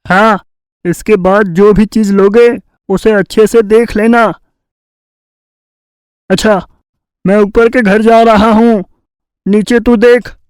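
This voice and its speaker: A man's voice: sits high, steady tone, slow, long pauses, smooth, dry recording. A man voicing a boastful, scheming, lazy village thief in a Hindi cartoon tale, with a bragging, cajoling delivery. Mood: instructing.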